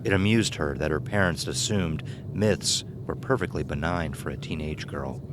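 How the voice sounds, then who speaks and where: A man's voice: very deep voice, normal speed, noisy background. A man narrating and announcing from a script for an audio drama. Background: music.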